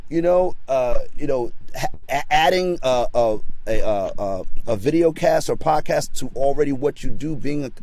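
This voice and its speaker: A man's voice: mid-range voice, expressive, normal speed, few pauses, a little mellow, rough recording. Stammering man, encouraging guest. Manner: stammering, repeats words; encouraging, motivational. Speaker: guest actor. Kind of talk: casual interview chat.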